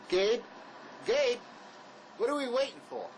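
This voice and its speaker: An actor playing a gruff, hard-nosed man on the run, sarcastic and bossy, in dramatic dialogue. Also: extremely high pitch, sing-song, unhurried, a little hiss or hum, exaggerated voice, low-quality recording. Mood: impatient.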